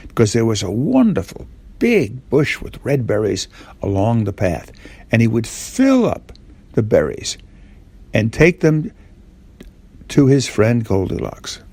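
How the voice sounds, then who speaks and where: A man's voice: medium pitch, very animated, slow, mellow, breathy edge, no echo. An older man tells a simple bedtime story informally, as if speaking to a young child. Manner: simple, repetitive, asks the child listener questions.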